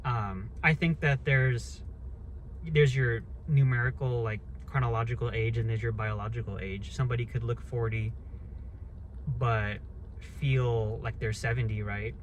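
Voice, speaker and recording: A man's voice: mid-range voice, fairly even intonation, measured pace, a little hiss or hum. A man in his thirties talking through a casual, rambling rant, blunt and swearing freely. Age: 30s. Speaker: vlogger.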